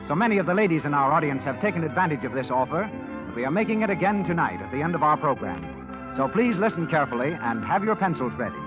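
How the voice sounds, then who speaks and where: A male announcer delivers formal sponsor copy in a polished, declamatory style. His pitch is high and lively. The recording is dull 1930s radio with music behind. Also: fast talker.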